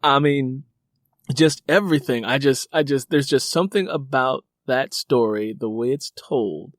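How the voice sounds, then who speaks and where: A man with a mid-pitched voice hosts a casual interview in a close, dry sound. He speaks conversationally and effusively, often repeating words and phrases for emphasis. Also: unhurried, no echo. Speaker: interviewer, host and writer.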